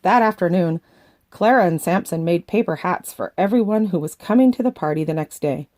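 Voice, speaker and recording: A woman reading a children's picture book aloud in the expressive manner of a grandmother reading to kids. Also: on the low side, brisk, no room sound, clean studio sound. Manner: expressive, acting out the dialogue.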